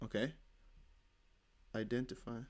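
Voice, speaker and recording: A man with a Dutch accent, a native Dutch speaker, giving chatty, excitable live commentary on a court hearing. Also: medium pitch, measured pace. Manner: excitable, chatty, laughs often. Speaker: crypto news streamer.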